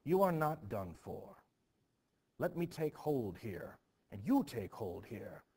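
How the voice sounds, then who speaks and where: Man playing scheming villager, commanding, insistent, stage play, forceful. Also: medium pitch, sing-song, takes it slowly, breathy edge, exaggerated voice.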